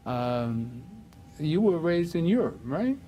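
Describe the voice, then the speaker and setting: Older Harlem man, mellow rambling host. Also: fairly high pitch, sing-song, slow pace, a little hiss or hum. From Harlem, New York. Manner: rambling, laughs often, many fillers. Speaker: talk show host. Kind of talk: casual reminiscing chat.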